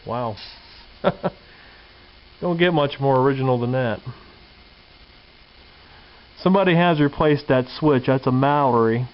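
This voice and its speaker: A man's voice: medium pitch, slow, pauses often. A man giving a casual, hands-on show-and-tell as a hobbyist presenter, musing aloud in a folksy way on a handheld camera mic in a workshop. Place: indoor home workshop or garage. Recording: dull lo-fi camcorder audio with hiss.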